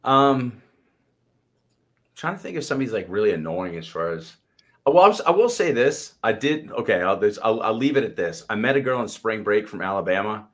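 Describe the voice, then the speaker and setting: A man's voice: lowish voice, animated, brisk. An American man co-hosting a talk show in casual chat, with a joking, self-deprecating way of talking.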